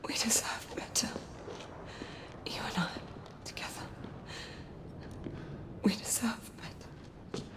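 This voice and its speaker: An actress playing a wounded, weary Victorian warrior, weak with pain and breathy, in a cinematic game. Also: female voice, slow, pauses often, close to an everyday voice. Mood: weary, longing. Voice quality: breathy, creaky whisper.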